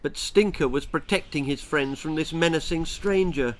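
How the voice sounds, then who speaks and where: A man's voice: a little high, normal speed. A man with an English accent reading a children's story aloud as a dramatic storyteller. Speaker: author reading own story.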